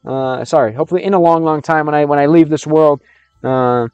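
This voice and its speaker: A man's voice: on the high side, brisk, a little mellow, clear, resonant tone, no room sound, lo-fi sound, faint music behind. A man livestreaming, chatting with viewers and translating game text as he learns a language, often restarting his sentences. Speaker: streamer learning Russian. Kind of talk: casual livestream chat with viewers.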